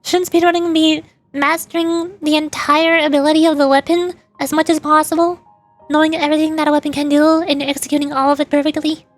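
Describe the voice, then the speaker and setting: A woman's voice: high-pitched, level tone, fairly quick, soft background music. A woman streamer giving casual, chatty, opinionated gaming commentary in a rambling way. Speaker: VTuber streamer.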